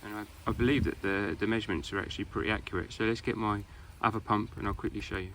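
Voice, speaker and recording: A British man giving a casual hands-on product review in an informal, halting way. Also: lowish voice, steady tone, noisy background.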